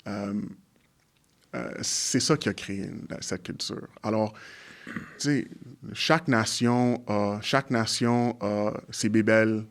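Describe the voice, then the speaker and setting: A man's voice: fairly low pitch, fairly even intonation. An English-speaking man from Montreal talks through his views in French as a guest. He stops and restarts often as he hunts for the right words. Now and then an English phrase slips into his sentences. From Montreal, Quebec. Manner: halting, restarts often while searching for words. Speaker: guest, community organization leader. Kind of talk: conversational interview.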